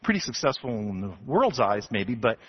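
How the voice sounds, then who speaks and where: A man pastor from Texas preaching an expository sermon to his congregation, animated and building to emphatic passages. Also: mid-range voice, normal speed, breathy edge. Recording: dull lo-fi sound.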